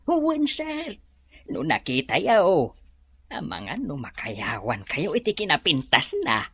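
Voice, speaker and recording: A woman plays a bumbling resort employee who wheedles the boss. The voice is low and raspy, swinging wildly in pitch at an unhurried pace, in comic drama style. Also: huge pitch swings, fairly bright tone, heavily acted. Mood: wheedling. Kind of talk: Ilocano comic audio drama acting.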